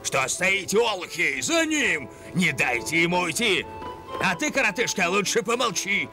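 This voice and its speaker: An actor playing a bossy, impatient animal gang leader in a cartoon, scornful and commanding. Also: male voice, sits high, sing-song, moderate pace. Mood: angry. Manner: commanding, scornful, barking orders. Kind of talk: cartoon villain dub. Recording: music behind the voice.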